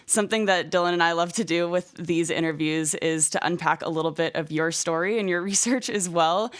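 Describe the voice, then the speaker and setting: Smooth low-voiced woman podcast host. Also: fairly quick. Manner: curious, inviting. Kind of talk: interview question.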